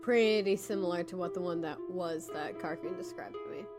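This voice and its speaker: A woman chatting casually and playfully as a player in a tabletop game, with an animated manner. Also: fairly low pitch, a little fast, music in the background.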